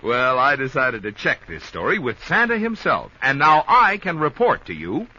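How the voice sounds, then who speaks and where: An American man reading a playful sponsor pitch as a 1950s radio announcer. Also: huge pitch swings, normal speed. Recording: muffled, faintly noisy 1950s radio recording.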